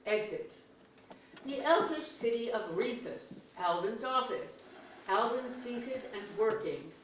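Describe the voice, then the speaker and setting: High-voiced woman reading stage directions. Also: lively intonation, slow pace. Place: museum auditorium, live reading. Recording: very echoey, distant, noisy hall.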